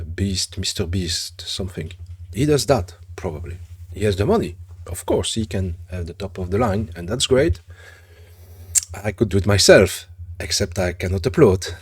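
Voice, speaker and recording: Husky-voiced French-accented man, unhurried. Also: deep voice, darkish tone, no echo. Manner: rambling, chatty, frequent self-corrections. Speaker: gaming channel host. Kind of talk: casual gameplay commentary.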